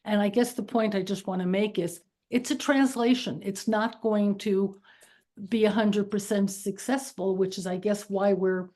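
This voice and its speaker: Mellow-voiced woman making a thoughtful point. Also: medium pitch, steady tone, normal speed. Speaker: class participant. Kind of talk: classroom comment.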